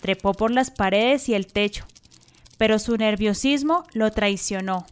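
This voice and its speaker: A woman's voice: mid-range voice, measured pace, clear, resonant tone. A woman narrator telling a scripted story for a radio drama.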